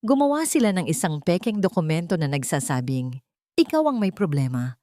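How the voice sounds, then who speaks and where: A woman's voice: on the low side, very animated, fairly quick, warm tone, no room sound, clean studio sound. A woman voicing a firm, serious, determined lawyer in an audio story. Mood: triumphant. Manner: serious, emphatic.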